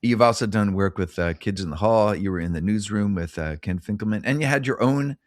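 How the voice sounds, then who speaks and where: A man's voice: lowish voice, brisk, no room sound, clean studio sound. A middle-aged man hosting a podcast, chatting casually in a jokey, self-deprecating way. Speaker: podcast host. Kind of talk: casual chat.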